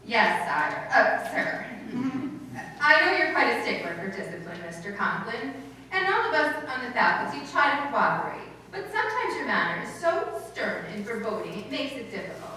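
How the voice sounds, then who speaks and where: A roomy, distant recording of a woman acting as a sardonic schoolteacher in a radio comedy. Her delivery is animated and bright, with dry wisecracks tucked under politeness. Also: a little high, moderate pace. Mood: wry. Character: wry, sardonic female high school English teacher. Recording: echoey room from far off, faint noise.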